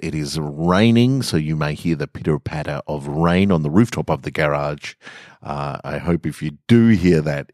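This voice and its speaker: Deep-voiced man reading short stories. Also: darkish tone, dry recording, clean studio sound. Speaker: podcast host, author and reader. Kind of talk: relaxed podcast intro and literary short-story reading. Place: garage, indoors.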